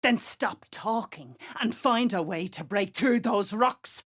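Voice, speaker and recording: A woman playing a gruff, threatening dwarf sergeant in a video game, coarse and slangy in her speech. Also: mid-range voice, slow pace, a bit bright. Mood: gruff, urgent. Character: gruff, menacing female duergar sergeant driving slaves. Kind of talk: video game character acting.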